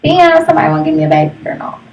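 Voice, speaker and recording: A woman's voice: sits high, big pitch swings, rapid-fire, gravelly, lo-fi sound. A woman in her thirties hosting a live streamed talk show with blunt, brash, slangy and profane casual banter. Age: 30s. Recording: noisy, distant live stream mic.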